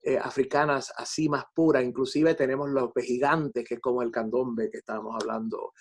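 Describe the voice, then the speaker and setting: An older man with a Puerto Rican Spanish accent, a composer reflecting in an interview, haltingly repeating words as he explains. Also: medium pitch, steady tone. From Puerto Rico.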